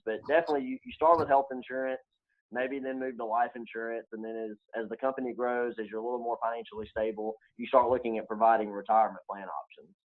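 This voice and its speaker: Lo-fi, narrow-band sound; male expert guest, medium pitch. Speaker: financial advisor guest. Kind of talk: conversational explanation.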